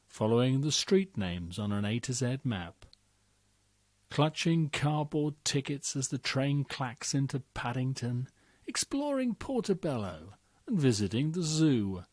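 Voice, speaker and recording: Male poetry reader, unhurried, measured, dry close-miked read. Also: mid-range voice, sing-song, darkish tone, no echo. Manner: measured, deliberate delivery. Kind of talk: slow dramatic poetry reading.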